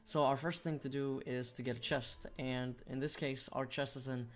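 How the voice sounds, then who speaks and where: A man's voice: medium pitch, steady tone, moderate pace. A man giving a casual, step-by-step video game walkthrough as a guide host. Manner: explanatory, step-by-step. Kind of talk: walkthrough commentary, casual instructional. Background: music.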